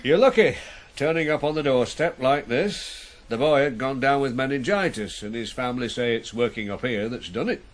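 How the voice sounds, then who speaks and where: An actor playing a stern, brusque middle-aged farm boss, authoritative and clipped in a radio drama. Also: male voice, medium pitch, animated, moderate pace, hardly any pauses, close to an everyday voice.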